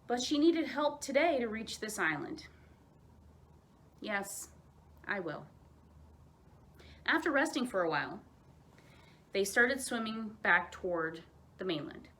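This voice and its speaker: A woman narrating a children's story aloud as a storyteller, in an expressive, dramatized way. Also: fairly high pitch, normal speed.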